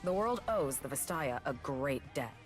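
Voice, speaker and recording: An actress playing a young female warrior in a video game, dry, sarcastic and darkly humorous, with a deadpan edge and fierce devotion. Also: a bass voice, normal speed, soft background music. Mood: darkly wry.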